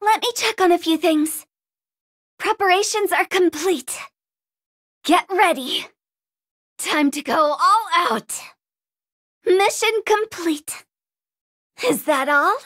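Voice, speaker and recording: A woman voicing a gentle, dutiful young maid girl in an anime game, speaking politely and earnestly. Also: very high voice, slow pace, pauses often, heavily acted. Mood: determined. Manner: polite, earnest, softly formal. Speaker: video game voice actor. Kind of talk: anime game character voice lines.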